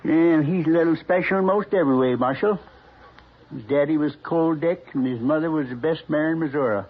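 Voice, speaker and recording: Old West rancher, male actor: American, hoarse-edged and earnest, stubborn pride, folksy delivery, tinny old radio drama recording. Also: fairly high pitch, brisk, lightly acted. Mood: proud, earnest. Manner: earnest, folksy, hesitant then insistent. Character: earnest, stubbornly proud small-time horse rancher, man.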